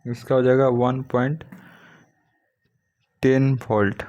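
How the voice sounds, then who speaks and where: A man's voice: mid-range voice, little pitch movement, slow, a little mellow. A man working as an exam coaching teacher, methodically reading practice questions aloud and then stating each answer.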